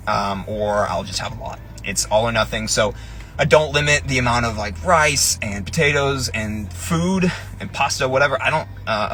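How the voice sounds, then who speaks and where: A young man chats loosely on a live stream from the driver's seat of his parked car, his voice bright and forward. He rambles and restarts often, filling pauses with ums. Faint music plays behind him. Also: lowish voice. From Tennessee. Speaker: fitness influencer, personal trainer. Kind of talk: casual live-stream chat.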